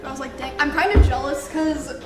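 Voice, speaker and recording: A woman talks casually in a high, strained voice that sounds close to tears. Her voice echoes around a roomy space. Clear music plays behind her. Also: steady tone, fast. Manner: chatty, with many fillers.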